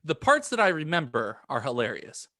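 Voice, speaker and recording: A man's voice: fairly high pitch, huge pitch swings, normal speed, sharp tone, dry recording, clean studio sound. A man co-hosting a podcast in loose, casual chat. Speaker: podcast co-host.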